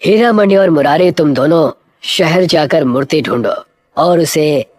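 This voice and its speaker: A woman plays an old village holy man from a children's cartoon story. The voice drops low, grave and commanding, scolding villagers with cryptic authority. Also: a little slow, darkish tone. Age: older. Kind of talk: cartoon moral story acting.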